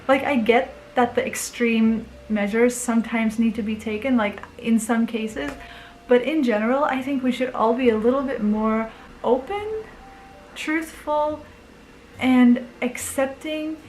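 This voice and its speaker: A woman's voice: a little high, slight background noise, poor audio. Earnest young Belgian woman vlogging. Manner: earnest, rambling with many likes and restarts, nervous laughs. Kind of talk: casual talk to camera. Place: home in Osaka, talking to a camera.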